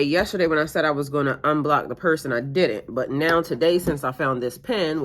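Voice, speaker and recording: A middle-aged woman, a tarot reader, talking casually to her viewers in a meandering way with lots of fillers and restarts, her voice a little stuffy-nosed. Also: a bass voice. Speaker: tarot reader and spiritual coach. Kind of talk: casual rambling monologue to camera.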